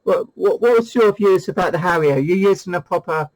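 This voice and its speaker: A man's voice: sits high, a little fast, darkish tone, poor audio. A British man hosting a CB radio video, chatting casually and hesitantly, often repeating his phrases. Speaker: host of a CB radio review video. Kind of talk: casual two-way radio chat.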